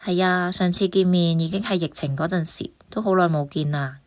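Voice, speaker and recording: A woman's voice: lowish voice, brisk, dry recording, natural voice. A woman playing a friendly, chatty young mother in a Cantonese radio drama, with a Hong Kong accent.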